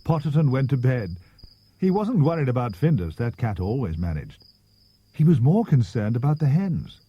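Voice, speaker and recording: A man's voice: medium pitch, sing-song, moderate pace, breathy edge, no room sound. A man reading scripted storybook narration as an audiobook narrator. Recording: dull cassette transfer with music.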